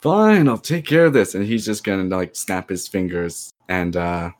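A man's voice: low voice, moderate pace. A man playing a tabletop role-playing game, joking and slipping in and out of his character. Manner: joking, animated, slips in and out of character. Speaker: player. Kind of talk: casual tabletop role-play.

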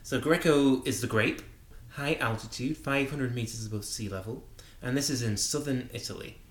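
A man co-hosting a wine news show, explaining wines and trends in a measured, enthusiastic way. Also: mid-range voice, echoey room. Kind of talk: conversational wine news discussion.

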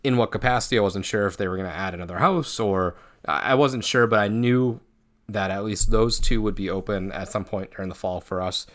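Guy with a lowish voice just chatting on a fan podcast, super casual. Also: a little fast, a bit bright. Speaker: co-host of a horror attraction fan podcast. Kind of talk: casual chat.

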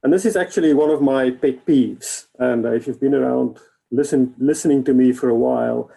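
A man preaching in a relaxed, halting way with many fillers, an Afrikaans speaker. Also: medium pitch, creaky, husky, rough recording. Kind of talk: informal sermon. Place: church hall.

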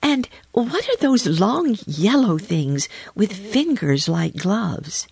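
A woman voicing a curious, excitable little girl in a children's storybook, full of direct questions and exclamations. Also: medium pitch, slow pace, creaky, slightly rough, dry recording, voice pushed up, heavily acted. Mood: curious, excited.

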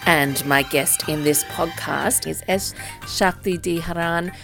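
A woman hosting an arts interview podcast in a conversational, halting way, rephrasing her questions as she goes. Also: low voice, vocal fry, over music.

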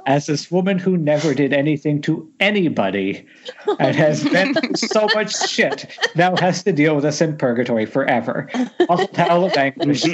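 A man reading stage directions at an informal script table read, with wry delivery, over a lo-fi internet call. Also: fairly high pitch.

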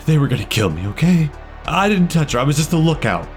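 A man's voice: a little high, very animated, fast, faint music behind, voice pushed up. A man voicing a terrified captive male fox creature, stammering and pleading desperately.

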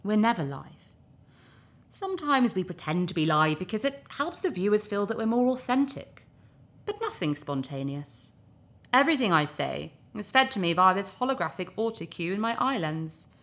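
Cynical, worldly TV presenter, sci-fi audio drama. Breezy persuader with swooping intonation, echoey room. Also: female voice, lowish voice, fairly bright tone. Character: glib, cynical, charming female TV propaganda presenter. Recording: roomy, echoing sound.

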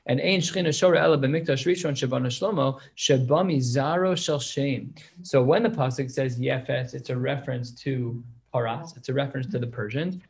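A man's voice: medium pitch, fairly quick, gravelly, husky. A man teaching a class on a religious text, reading passages aloud and explaining them in casual English. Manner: reads Hebrew and Aramaic, then explains it in plain English. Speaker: Talmud teacher.

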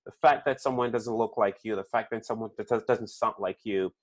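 Male host with a foreign accent and a lowish voice, loose and chatty, stumbling over repeated words. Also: fairly even intonation, fairly quick. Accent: non-native English accent. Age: young kids, adult. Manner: frequent restarts and "right?" tags. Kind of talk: conversational interview.

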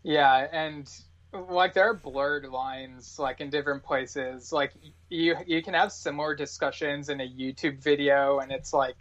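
A man chatting casually as a podcast guest, halting and full of verbal filler. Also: a little high, fairly even intonation, a bit bright, highs cut off. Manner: halting, peppers talk with "like".

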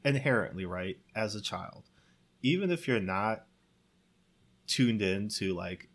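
A man's voice: lowish voice, lively intonation, takes it slowly, fairly bright tone. A man casually discussing a film, with exasperated emphasis and some swearing, often amused as he talks. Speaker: podcast co-host.

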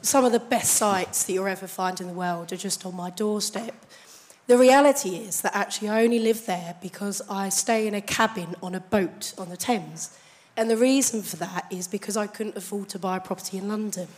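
Croaky-voiced woman asking from the floor. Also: medium pitch, darkish tone. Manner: chatty, anecdotal, measured. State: croaky, hoarse voice. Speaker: audience member asking the panel. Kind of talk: question from the floor at a conference.

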